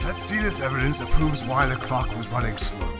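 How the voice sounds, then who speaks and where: A dignified older judge, played by a man, presides in a game fandub. He speaks formally and with measured authority at a middling pitch, with music loud behind him. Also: big pitch swings, lightly acted. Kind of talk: dramatic video game fandub.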